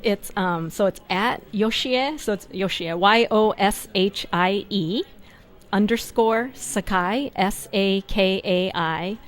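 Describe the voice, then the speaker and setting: A woman's voice: medium pitch, slow. A woman from California, an artist being interviewed at her art fair booth, talking casually with fillers and frequent laughs. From California, USA. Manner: chatty, laughs often, uses fillers like "um" and "like". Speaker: artist, guest.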